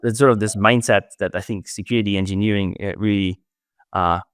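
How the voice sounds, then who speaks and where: Middle-aged Austrian guest, German accent, lowish voice, thoughtful, restarts sentences, close dry studio mic. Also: male voice, steady tone, brisk, clear, resonant tone, dry recording. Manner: thoughtful, restarts phrases, trails off softly. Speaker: security researcher guest. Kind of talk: casual interview answers.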